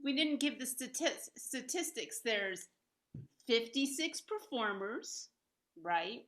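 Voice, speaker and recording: Host, woman, bright and slightly high voice, enthusiastic and promotional, on a video call. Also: animated, slow. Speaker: host and founder of a theater. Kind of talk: hosting a live video-call event.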